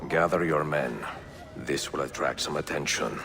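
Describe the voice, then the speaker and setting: An actor playing an older Italian assassin in a video game, gruff and courteous, with an Italian accent. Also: male voice, normal speed. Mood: grave, resolute. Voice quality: deep, gravelly. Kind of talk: dramatic video game acting.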